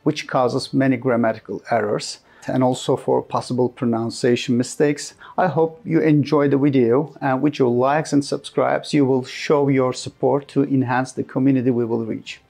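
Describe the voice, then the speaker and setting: A man with a Turkish accent, a native Turkish speaker, hosting a history channel and narrating earnestly with careful, slightly halting delivery. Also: medium pitch, vocal fry, mellow. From Turkey.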